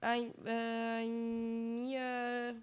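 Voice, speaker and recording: A woman's voice: a little high, completely flat delivery, extremely slow, clean tone. A woman from southern Italy with an Italian accent, speaking English as a guest on a radio show, often searching for words and repeating herself. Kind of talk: casual interview chat.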